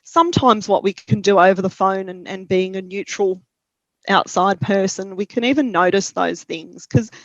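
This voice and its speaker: Woman, Australian accent, calm and reflective, dry dull-sounding audio. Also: mid-range voice, moderate pace, no echo. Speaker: parent helpline counsellor. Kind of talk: webinar discussion.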